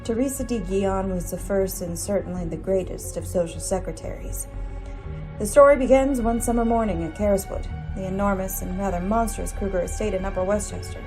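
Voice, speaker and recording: A woman's voice: mid-range voice, a little fast, lightly acted, rough recording. A woman giving scripted narration for a radio drama. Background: music.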